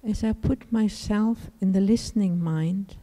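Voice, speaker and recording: A woman leading a guided meditation as a teacher, speaking in measured phrases with pauses. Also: medium pitch, warm tone. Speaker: meditation teacher, Buddhist nun.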